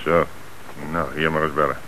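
An actor in a Dutch radio play playing a police commissioner who puts terse, probing questions with businesslike authority. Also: male voice, a bass voice, level tone, normal speed. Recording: noisy old radio play recording.

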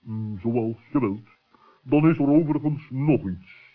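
A man's voice: mid-range voice, a little slow, natural voice. A man playing a polite, nosy owl who talks in a pompous, wordy, hemming way in a children's radio play. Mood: nosy politeness. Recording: tinny, narrow old radio recording.

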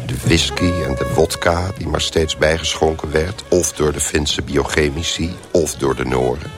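A man's voice: very deep voice, normal speed, music in the background. An actor playing a hungover man who wearily and wryly tells his story in a radio play. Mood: weary, wry. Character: hungover man wearily recounting last night's drinking party. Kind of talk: Dutch radio play narration.

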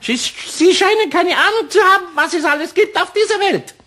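A man's voice: very high-pitched, animated, moderate pace, pushed and bright, pitched above the performer's own voice, full character voice. A man voicing a bragging male marionette kobold in a radio play, chirpy, boastful and gossipy.

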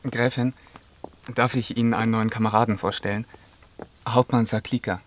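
A man's voice: fairly low pitch, level tone, moderate pace, slight background noise, close to an everyday voice. A man playing a courteous young officer. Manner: polite, formal. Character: courteous young male officer, smitten and polite. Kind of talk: radio drama acting.

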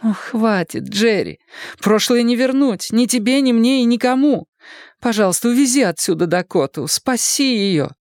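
A woman's voice: a little high, lively intonation, moderate pace, a little mellow, no echo, clean studio sound. A woman voicing an anguished wife and mother in a dramatised audiobook, tender but insistent as she emotionally implores. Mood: pleading, anguished.

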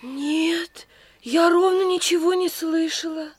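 A woman's voice: very high voice, steady tone, takes it slowly, no echo. An actress playing a polite, airy young woman from a detective audio play. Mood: startled. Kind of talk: audio drama acting.

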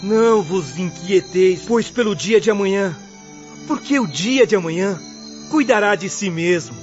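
An actor playing a humble, good-humored and devout poor farmer in a radio drama, speaking earnestly. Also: male voice, high-pitched, animated, unhurried, faint music behind. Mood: devout, hopeful. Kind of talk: Brazilian radio drama acting. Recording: dull lo-fi audio.

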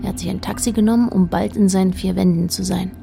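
A woman's voice: mid-range voice, fairly even intonation, quick pace, gravelly, noisy background. A woman narrating a literary radio play as a detached, observant storyteller, in short plain sentences.

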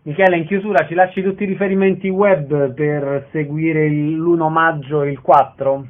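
A man's voice: on the high side, fairly even intonation, moderate pace. An Italian man hosting live radio, linking guests in a friendly, informal way. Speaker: radio host. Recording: thin phone-like radio sound.

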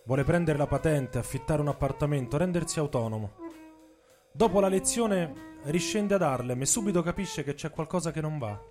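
A man reads a scripted dramatic story for radio theatre in measured, deliberate phrases with dramatic pauses. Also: fairly high pitch, expressive. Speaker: author and narrator. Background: music, saxophone and drums.

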